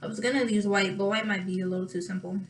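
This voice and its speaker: A young woman with a slightly raspy voice chatting casually through a makeup tutorial, thinking aloud and pausing as she works. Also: mid-range voice, steady tone, noisy background. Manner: thinking aloud, drawn-out pauses while applying makeup. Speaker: makeup vlogger.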